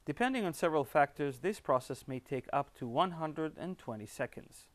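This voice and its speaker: A man's voice: fairly high pitch, lively intonation, normal speed. A man with a slightly raised voice presents a scripted tutorial. He explains each step in an upbeat, instructional way.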